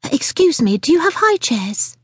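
A woman narrator plays a bossy, impatient mum from a storybook. The voice is high and lively, pointed and demanding, recorded very dry. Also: moderate pace, no echo. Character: bossy, impatient mother with a baby.

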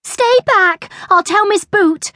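A woman's voice: very high voice, unhurried, fairly bright tone, no echo, studio quality, pitched above the performer's own voice. A woman voicing a weedy, sly, cowardly schoolboy with a thin voice in a children's story. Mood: panicky.

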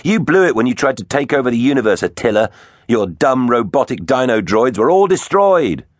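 A man's voice: on the high side, sing-song, moderate pace, dry recording, clean studio sound, pitched above the performer's own voice. A man playing a brave, cheeky dinosaur captain who is the young-spirited hero of a children's storybook. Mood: cocky defiance.

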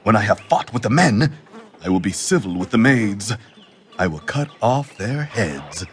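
An actor in a Shakespearean audio drama playing a cocky, bawdy young servant who boasts and leers with drawn-out emphasis. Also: male voice, fairly low pitch, very animated, slight background noise. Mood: lewd bravado. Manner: boastful, leering, chuckling, drawn-out emphatic delivery.

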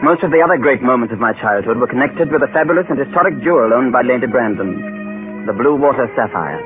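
A man's voice: medium pitch, expressive, music in the background, natural voice. A man playing a grave Englishman who solemnly recounts his childhood as narrator of a 1930s radio drama. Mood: reminiscent. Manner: measured, solemn storytelling. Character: grave adult Englishman, sole surviving brother, recalling his childhood. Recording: tinny 1930s radio broadcast.

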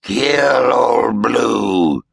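A man voicing an old, waterlogged male ghost who hisses and gurgles his words in a slow backwoods drawl. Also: medium pitch, lively intonation, creaky, breathy edge, dry recording, studio quality, pitched above the performer's own voice, full character voice. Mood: gruff. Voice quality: hissing, gurgling. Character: waterlogged old male swamp ghost, gruff, hoarse, mocking.

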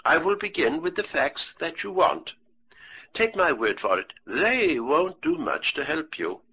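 A mysterious, impatient widow, voiced by a male audiobook reader. She speaks in a raised pitch with formal, old-fashioned phrasing and a slightly husky tone. The recording sounds dull and lo-fi. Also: big pitch swings, a little slow, exaggerated voice. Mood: resolute. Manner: clipped, earnest, formal old-fashioned speech. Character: pale, intense mysterious widow, impatient and proud. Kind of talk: audiobook character reading.

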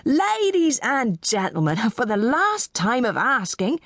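A timid country auctioneer, a man played by a woman narrator, formal and apologetic as he tries to keep command. The pitch swings widely in this dry audiobook reading. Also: huge pitch swings, a little slow, fairly bright tone, no echo, heavily acted. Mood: formal calling. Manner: formal, apologetic, fragile authority. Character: timid, flustered, polite male country auctioneer.

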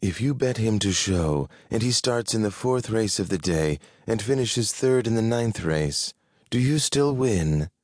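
A man's voice: lowish voice, animated, a little slow, a little mellow, no echo, pitched above the performer's own voice. A feline female creature from a fantasy storybook, voiced by a man. She is blunt and selfish, speaking with bored disdain. The sound is dry and close. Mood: sly.

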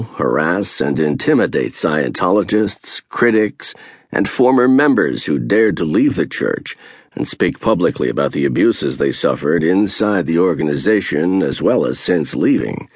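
A man reading a first-person memoir as an audiobook narrator, measured and earnest, slowing down to stress key phrases. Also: deep voice, sing-song, slightly rough, no room sound, studio quality.

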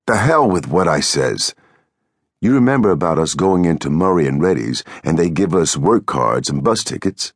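A man voicing a small, irritable, bossy American drifter in an audiobook, with gruff, scolding, folksy speech. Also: low voice, sing-song, moderate pace, dry recording, clean studio sound, heavily acted. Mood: sharp, scolding.

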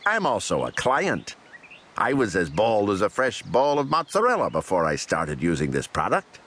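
A man's voice: fairly low pitch, wildly swooping pitch, normal speed, a bit bright, breathy edge, pitched below the performer's own voice, heavily acted. A man voicing a pushy, grinning delivery mouse in a children's audiobook, eager and insistent. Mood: knowing, cocky.

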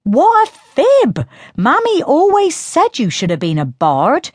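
A bright, mocking young sister, put on by a woman reading a storybook, her voice lifted well above the narrator's own. She teases in quick jabs, pitched high and swooping. The sound is close and dry. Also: wildly swooping pitch, no room sound, heavily acted. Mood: teasing. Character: young sister, teasing and sharp-tongued.

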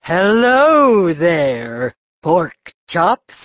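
A woman's voice: lowish voice, sing-song, very slow, dry recording, dull audio, exaggerated voice. A woman playing a snooty, irritable milk cow in a children's audiobook, pompous and condescending, drawling her words. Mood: condescending, smug. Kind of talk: full-cast children's audiobook acting.

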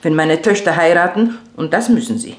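An actress playing an excitable, theatrical middle-aged mother bent on marrying off her daughters, in a radio play. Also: fairly low pitch, a little fast, echoey room, close to an everyday voice. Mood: indignant, lamenting. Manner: effusive, theatrical exclamations and scolding. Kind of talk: German radio play acting.

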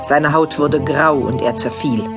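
Grave horror narrator over loud music. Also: male voice, fairly high pitch, a little slow. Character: grave male storyteller of a horror tale. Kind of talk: scripted horror audio drama narration.

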